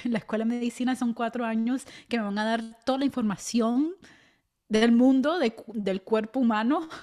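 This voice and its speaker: A woman's voice: medium pitch, darkish tone. A young adult woman co-hosting a casual podcast chat, earnest and reflective, with light laughs. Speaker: podcast co-host.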